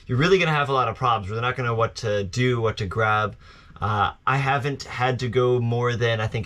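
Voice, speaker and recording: A man's voice: fairly low pitch, fairly bright tone. A man chatting casually to viewers as a sailing vlogger, rambling informally and poking fun at himself. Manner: informal and rambling, self-deprecating. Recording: outdoor recording with clear background noise.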